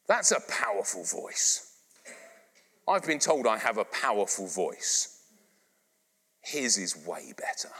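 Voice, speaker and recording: A man's voice: mid-range voice, husky. A middle-aged English man preaching a sermon to a live congregation, speaking conversationally and coaxing the listeners to repeat phrases back. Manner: animated, conversational, asks the congregation to repeat lines. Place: church hall, live congregation. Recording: long hall reverb.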